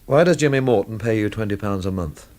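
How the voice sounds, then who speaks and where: An actor playing a wry, world-weary investigator in a radio drama, with a dry, literary, laconic delivery. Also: male voice, fairly low pitch, animated, quick pace, a little mellow, natural voice. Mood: probing, dry. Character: wry, world-weary male investigator narrating his own inquiry. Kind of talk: radio drama narration and dialogue.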